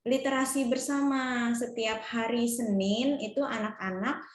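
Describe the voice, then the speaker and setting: A woman's voice: a little high, fairly even intonation, a little slow, a little hiss or hum. Teacher lady, polite and deferential, talking in a roomy echoey space. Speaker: English teacher. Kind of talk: coaching conversation. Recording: echoey room.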